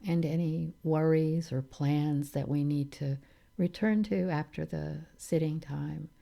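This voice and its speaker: A woman leading a guided meditation in a calm, soothing manner, leaving long pauses between phrases. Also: low-pitched, creaky. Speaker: meditation teacher.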